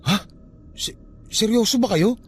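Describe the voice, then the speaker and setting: High-voiced, stubborn young nephew. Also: male voice, unhurried, slightly rough, faint music behind. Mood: incredulous, curious. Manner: protesting, expressive. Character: stubborn, defensive young nephew, evasive and curious. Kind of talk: Tagalog radio drama acting.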